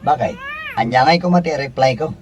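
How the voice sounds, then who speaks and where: A man playing a henpecked, wisecracking husband in a comedy radio drama, animated, with an Ilocano accent. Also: mid-range voice, brisk, background noise, close to an everyday voice. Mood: exasperated.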